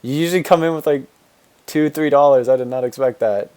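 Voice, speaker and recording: A man in his twenties with a deep voice, a game streamer talking casually with viewers while answering chat and rambling with fillers and restarts. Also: brisk, warm tone, clean tone. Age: 20s.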